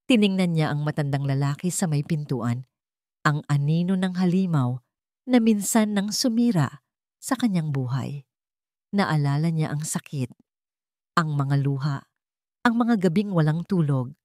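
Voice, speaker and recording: A woman reading a scripted dramatic story as narrator, with measured, deliberate phrasing. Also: low voice, expressive, darkish tone, no echo, clean studio sound.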